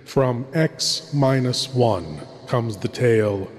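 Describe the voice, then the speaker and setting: A man narrating theatrically as a horror host, ominous and full of dramatic pauses. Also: mid-range voice. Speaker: horror host introducing old-time radio. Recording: long hall-like reverb.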